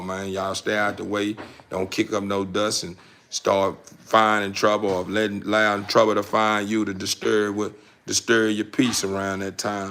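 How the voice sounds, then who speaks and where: A man's voice: fairly low pitch, gravelly, a bit bright, slight background noise. An American man hosting a casual livestream, rambling in a folksy, encouraging way with repeated catchphrases. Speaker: livestream host of a dog breeder channel.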